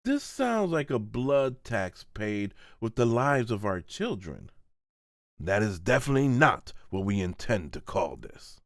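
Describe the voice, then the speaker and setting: A man voicing a solemn, weary, principled seneschal in a fantasy manga dub, earnest and halting. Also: fairly low pitch, very animated, no echo, clean studio sound. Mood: firm objection. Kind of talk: dramatic manga voice-over.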